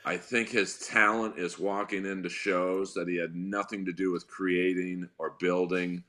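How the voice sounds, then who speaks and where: A man with a deep voice chats loosely as a podcast guest. His tone is low and bright, and he tells long, wry stories with a dry edge. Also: flat delivery, unhurried. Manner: wry, rambling storyteller. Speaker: guest comedian. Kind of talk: casual podcast chat.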